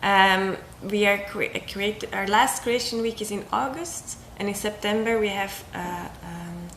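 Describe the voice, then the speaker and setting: A young woman from Belgium talks casually about her circus work, pausing often on ums and restarting her sentences. Her voice sits at a middling pitch. The room is echoey, with clear background noise behind her. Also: a bit bright. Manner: hesitant, many ums and restarts. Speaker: circus artist. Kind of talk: casual interview talk.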